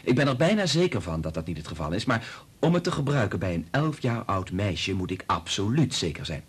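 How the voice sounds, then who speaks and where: An actor in a Dutch radio play playing a principled research doctor who is firm, emphatic and quick to anger. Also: male voice, fairly low pitch, sing-song, breathy edge, exaggerated voice. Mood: firm, irritated. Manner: emphatic, argumentative, swearing.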